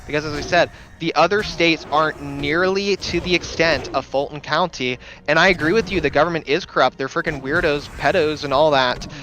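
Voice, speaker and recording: A young man in a heated live debate, insistent and quick to contradict the others. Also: a little high, pushed and bright, rough recording. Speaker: debate guest.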